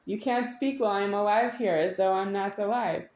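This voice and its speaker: A woman giving a rambling, unscripted monologue to an audience, halting at times with drawn-out words and insistent repetition. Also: mid-range voice, steady tone, a little mellow. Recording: echoey room.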